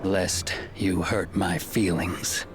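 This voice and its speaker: An actor playing a smug, condescending deputy chief of frontier lawmen in a video game, speaking in a measured, formal way. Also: male voice, deep voice, fairly even intonation, music in the background. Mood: condescending, menacing.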